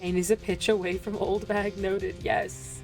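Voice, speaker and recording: A woman's voice: mid-range voice, level tone, a little slow, gravelly, over music. A woman streamer chatting casually and reacting to a game.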